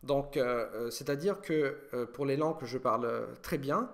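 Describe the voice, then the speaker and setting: A man's voice: mid-range voice, normal speed. Italian man musing with many hesitations. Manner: thinking aloud with many hesitations and restarts. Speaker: language learning video host. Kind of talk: casual Q&A monologue.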